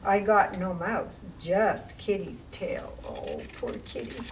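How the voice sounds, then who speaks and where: Slow-paced woman reading aloud for children. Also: medium pitch, wildly swooping pitch, gravelly. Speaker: library storytime reader. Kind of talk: read-aloud children's rhyming story with casual asides. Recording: distant mic with faint background noise.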